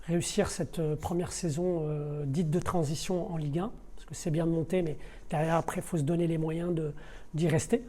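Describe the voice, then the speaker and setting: Mellow middle-aged man, halting delivery. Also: fairly high pitch, fairly even intonation. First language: not French. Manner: hesitant, searching for words with repeated words. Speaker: deputy sporting director of a football club. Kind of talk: podcast interview, spontaneous explanation.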